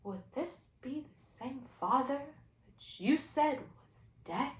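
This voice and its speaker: A woman's voice: high voice, lively intonation, distant mic, pitched above the performer's own voice. Woman playing an exasperated teacher, echoey room. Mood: dry, reproving. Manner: measured, stern, restrained. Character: exasperated, earnest female teacher, a former psychiatrist. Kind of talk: solo dramatic interpretation performance.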